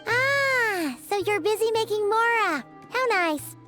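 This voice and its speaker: A woman voicing a tiny, childlike fairy companion in a video game, squeaky, cheeky and expressive. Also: slow pace, over music, exaggerated voice. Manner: exaggerated, expressive, third-person self-reference. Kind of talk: video game character dubbing.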